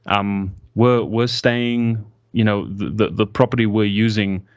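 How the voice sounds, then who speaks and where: Low-voiced man, halting speech. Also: steady tone, no echo, highs cut off. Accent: mixed American and South African English. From South Africa. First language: American English. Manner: hesitant, halting, with many restarts. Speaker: guest. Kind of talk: reflective conversational interview.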